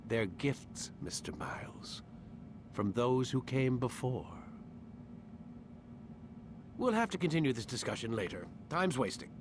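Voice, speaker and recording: An actor playing an imperious older scientist in a modern-day video game scene, formal and condescending. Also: male voice, mid-range voice, animated, normal speed, background noise, lightly acted. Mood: smug. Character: imperious older male scientist villain, smug, impatient.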